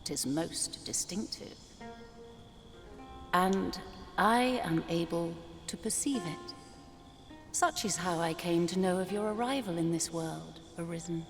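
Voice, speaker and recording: A solemn female oracle speaks very slowly in a long reverb. Her voice is lowish and serene, with measured archaic phrasing fit for a fantasy game. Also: soft background music. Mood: solemn, mystical. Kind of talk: fantasy video game acting. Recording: long reverb, faint noise.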